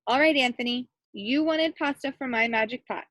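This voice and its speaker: A woman voicing a wise, firm old woman witch in a storybook folktale, speaking in a measured, commanding way. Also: high voice, fairly bright tone, no echo. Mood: stern.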